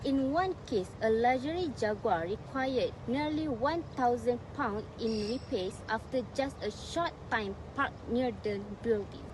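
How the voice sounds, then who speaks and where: A woman presents a scripted documentary in a voice pitched a little high. She is recorded outdoors, and urban vehicle noise is clearly audible around her. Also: lively intonation, a little slow, hardly any pauses. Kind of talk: scripted narration. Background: urban outdoor ambience, vehicles.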